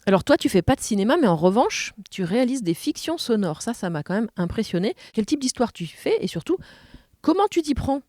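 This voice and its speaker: A woman's voice: a little high, big pitch swings, a little fast, a little mellow, no room sound, clean studio sound. A woman interviewing conversationally as a podcast host.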